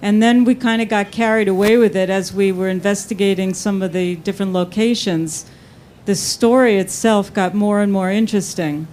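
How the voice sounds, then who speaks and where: A woman's voice: medium pitch, moderate pace. A woman in her sixties with a Brooklyn accent, chatting casually on a panel as an author and telling anecdotes. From New York. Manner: chatty and relaxed, with fillers like "like".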